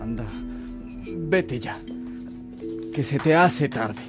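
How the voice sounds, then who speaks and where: A selfless, fatherly priest in a radio drama. His medium-pitched voice moves slowly and solemnly, with music clearly behind it. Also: animated. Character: kindly, selfless older priest, fatherly mentor. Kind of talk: Spanish-language radio drama acting.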